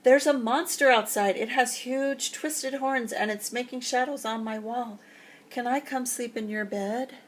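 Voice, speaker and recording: A woman voicing an anxious bear cub, urgent and loud. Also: a little high, steady tone, a little slow, slight background noise, voice pushed up, natural voice. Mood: alarmed.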